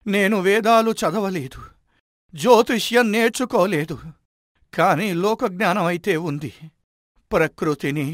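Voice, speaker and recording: A man's voice: high voice, fairly bright tone, dry recording, studio quality. A man voicing a humble, wise old commoner who explains things respectfully and reassuringly in a dramatized moral story. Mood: humble, earnest.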